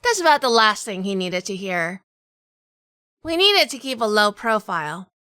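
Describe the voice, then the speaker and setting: A woman playing a sardonic, quick-witted female detective in a hardboiled noir audio drama, narrating and talking with wry humor. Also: mid-range voice, sing-song, sharp tone, clean tone, dry recording, studio quality. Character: sardonic quick-witted 1940s female gumshoe, weary wife.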